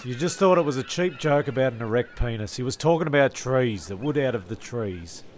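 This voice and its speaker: A man's voice: mid-range voice, animated, brisk, a little hiss or hum. A man narrating an amateur comedy audio drama, bickering sarcastically with a cast member.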